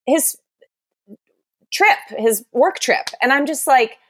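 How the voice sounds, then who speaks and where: A woman's voice: high voice, animated, slow pace, a bit bright, no echo. A young adult woman telling a candid, exasperated dating story with lots of "like" in a casual chat.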